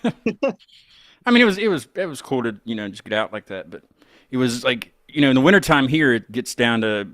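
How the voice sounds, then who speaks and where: A man's voice: mid-range voice, sing-song, moderate pace, a bit bright, dry recording, clean studio sound. An American man hosting a casual music chat, rambling informally as he talks. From United States. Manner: rambling, informal, mild swearing. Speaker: interviewer, music show host.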